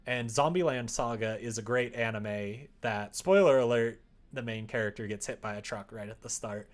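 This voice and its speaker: A man's voice: mid-range voice, normal speed. A man chatting casually on a livestream while he works, thinking aloud in a rambling, hesitant way. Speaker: livestreamer making a visual novel. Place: indoors at home.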